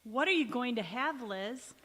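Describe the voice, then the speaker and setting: A woman's voice: a little high, animated, moderate pace, sharp tone. A woman playing an eager, chummy housewife neighbour in a vintage radio comedy on stage, with exclamatory delivery. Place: large indoor hall, live stage performance. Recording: reverberant church hall stage recording.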